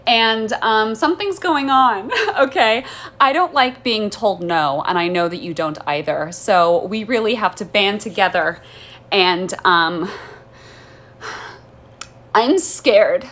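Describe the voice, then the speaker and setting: An entitled suburban mom with a breezy, over-polite way of talking, stopping on little ums as she goes, as a young woman puts her on. She speaks at an ordinary middle pitch. It sounds like a phone held at arm's length in a bedroom. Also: slow, a bit bright. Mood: prim. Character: entitled suburban mom, fussy and easily rattled. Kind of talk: comedy voicemail sketch. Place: bedroom by a window. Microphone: phone camera at arm's length.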